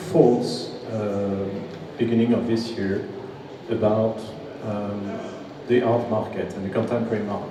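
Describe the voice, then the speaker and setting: A man answering at a press conference as an executive, halting and full of fillers. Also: fairly low pitch. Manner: halting, many fillers and restarts. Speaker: nonprofit executive. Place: large historic hall. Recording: echoey hall, distant mic, background noise.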